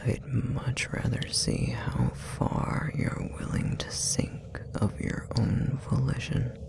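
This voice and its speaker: A man voicing a possessive, manipulative demon in an intimate ASMR roleplay, whispering with a gravelly fry in a deliberate, taunting way. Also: mid-range voice, a little mellow. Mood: sly, amused. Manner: slow, deliberate, taunting, condescending. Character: possessive, manipulative male puppetry demon, coldly menacing.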